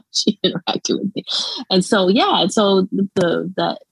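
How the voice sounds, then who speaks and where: A woman's voice: mid-range voice, very animated, slow pace, gravelly, husky, dry recording. A Canadian woman with a light Jamaican lilt, speaking as a guest scholar in a casual interview, rambling with many restarts and fillers and laughing often.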